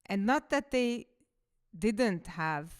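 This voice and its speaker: A woman's voice: medium pitch, lively intonation, takes it slowly, clean tone. A woman in her thirties, a coach and podcaster whose first language is Hungarian, telling her story in a reflective, casual monologue.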